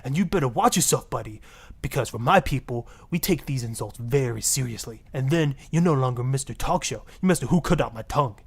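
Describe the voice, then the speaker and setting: A flamboyant fake-Latin love guru. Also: male voice, medium pitch, lively intonation, brisk, slightly rough. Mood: indignant, proud. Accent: put-on Latin. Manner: grandiose, preachy, easily offended. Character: flamboyant self-righteous fake-Latin marriage guru and pimp. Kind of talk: parody radio talk-show guest.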